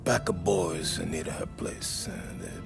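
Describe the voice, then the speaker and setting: An actor playing a worried, protective Italian-American father and bartender with a halting manner and an Italian accent in a cinematic game. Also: deep voice, creaky, over loud music, exaggerated voice. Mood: hesitant, worried. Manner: halting, hesitant, searching for words.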